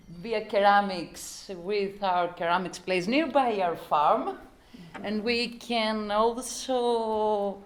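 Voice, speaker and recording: A woman presenting an idea informally, halting and repeating words as she searches for the next one. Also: mid-range voice.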